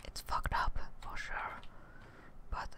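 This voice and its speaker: A young woman whispering casual, chatty ASMR game commentary close to a microphone. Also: takes it slowly. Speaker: ASMR gaming streamer. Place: indoors at a gaming desk. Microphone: close desk microphone.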